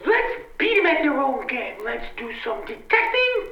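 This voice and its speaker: A very high, animated gangster gal acted by a woman, sarcastic and brash in a radio comedy. The sound is echoey and distant, slightly dull. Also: measured pace, full character voice. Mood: scheming. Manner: brash, wisecracking. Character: feisty sarcastic female gangster sidekick, wisecracking. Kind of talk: old-time radio comedy acting. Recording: echoey, off-mic, dull with faint noise.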